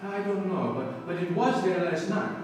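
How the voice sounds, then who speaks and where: Man acting a gentle priest in an echoing hall. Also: high voice, normal speed, natural voice. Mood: earnest, hesitant. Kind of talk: old-time radio drama acting. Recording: very echoey hall, distant mic, music.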